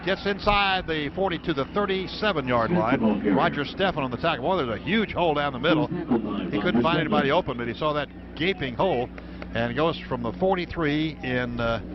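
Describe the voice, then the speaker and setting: A man's voice: a little high, lively intonation, a little fast. An American man calling live football play-by-play on the radio from a stadium booth. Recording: dull, noisy old radio broadcast.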